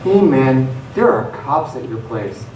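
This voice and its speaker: A man's voice: mid-range voice, big pitch swings, slow. Worried young guy acted by a man, roomy sound. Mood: alarmed concern. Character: worried young male friend of a runaway teen. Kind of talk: radio theatre acting. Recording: echoey stage, distant mic, faint noise.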